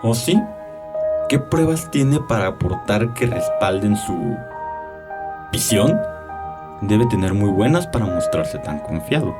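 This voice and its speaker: An actor playing a cold, threatening older doctor who is secretly a vampire, in a stern and condescending manner for a dramatized audiobook. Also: male voice, fairly low pitch, very animated, slow, long pauses. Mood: challenging. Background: background music.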